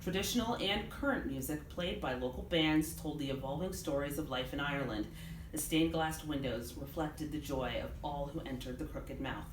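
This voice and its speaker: A woman author giving an expressive live reading of her novel to a room. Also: deep voice, normal speed. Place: indoors in a bookstore. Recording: roomy live event, background noise. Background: room ambience, clear background noise.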